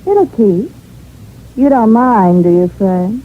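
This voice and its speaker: A woman's voice: mid-range voice, expressive, slow pace. An actress in a 1950s radio drama playing a jaded, flippant small-town newspaper woman with a sardonic, dismissive manner. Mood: breezy, defiant. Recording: tinny band-limited old radio recording, background voices.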